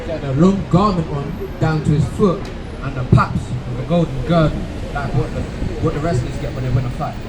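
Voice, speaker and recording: A man's voice: a little high, brisk. A man preaching outdoors, emphatically teaching and calling out scripture for others to read. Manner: emphatic, instructing, calls out scripture. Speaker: street preacher. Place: outdoors on a busy street. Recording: loud street traffic noise.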